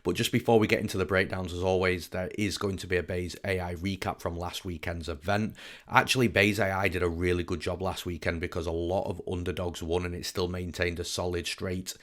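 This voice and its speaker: A man who is a little under the weather and croaky, giving an informal sports breakdown as an analyst and host. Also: low voice, little pitch movement, brisk. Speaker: MMA betting analyst, show host. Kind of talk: informal fight breakdown commentary.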